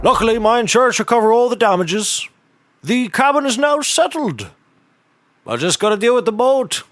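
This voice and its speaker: An actor playing an eccentric, deadpan office hand in a comedy audio drama, telling things in a folksy, drawn-out way. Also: male voice, extremely high pitch, dry recording, full character voice.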